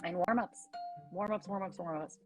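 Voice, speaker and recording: A young woman with a raspy voice, chatting casually as an actress guest in an interview and filling her talk with "like". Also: on the low side, normal speed, music in the background. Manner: chatty, many "like" fillers.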